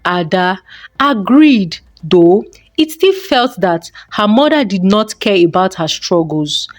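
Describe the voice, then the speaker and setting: Lady narrating a folktale, really lively up and down voice, dry close sound. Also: medium pitch, slow, no echo. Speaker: storyteller.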